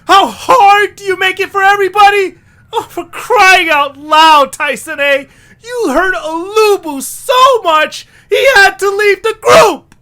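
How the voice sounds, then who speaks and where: A man's voice: extremely high pitch, measured pace, pushed and bright, shouting, pitched above the performer's own voice, heavily acted. A man voicing an indignant, earnest young male creature in an amateur audio drama, with strident, exasperated delivery. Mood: furious.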